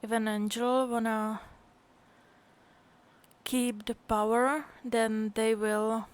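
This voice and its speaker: A woman live-streaming a game, giving casual, halting commentary as she thinks aloud. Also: a little high, little pitch movement.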